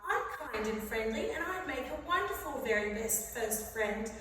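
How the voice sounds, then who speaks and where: A woman's voice: on the high side, lively intonation, normal speed, a bit bright. A woman voicing a small, hopeful, naive male cloud creature in a children's storybook, eager and wide-eyed. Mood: downcast. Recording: very echoey hall, distant mic, faint noise.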